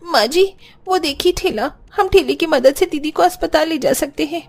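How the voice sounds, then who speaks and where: A woman's voice: high voice, normal speed, creaky, a little mellow, pitched above the performer's own voice. A woman voicing a young, caring daughter-in-law in a cartoon family story, emotive yet respectful. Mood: anxious, urgent.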